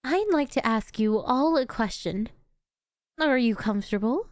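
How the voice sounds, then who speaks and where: A woman's voice: fairly high pitch, animated, a little slow, no echo, studio quality. A woman playing a gleeful, cocky yet doting female supervillain in an ASMR roleplay, with a theatrical, teasing, chatty manner. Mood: smug menace.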